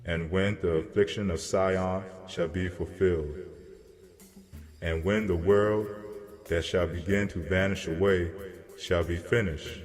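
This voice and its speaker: A man playing a solemn angel messenger who delivers grave prophecy in a measured, declamatory way, as in a dramatized scripture reading. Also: sits low, steady tone. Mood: grave, prophetic. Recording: long cavernous reverb, music behind. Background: music.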